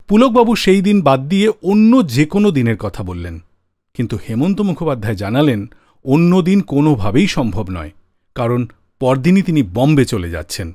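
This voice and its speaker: Animated male radio storyteller. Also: medium pitch, no echo, studio quality. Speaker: radio show host. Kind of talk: scripted radio storytelling about songs and singers. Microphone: close studio microphone. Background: faint music.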